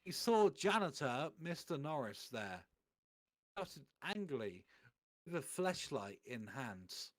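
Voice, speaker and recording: A man reading fan fiction aloud on a stream in a comic dramatic style, swearing and halting over misspelt words. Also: medium pitch, very animated.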